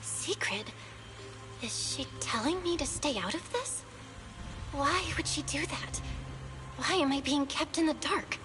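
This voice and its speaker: A woman's voice: high voice, animated, a little slow, long pauses. An actress playing a curious, daring young female protagonist who questions and teases, in a game cutscene. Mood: puzzled. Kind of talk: video game voice acting. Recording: game cutscene with music behind.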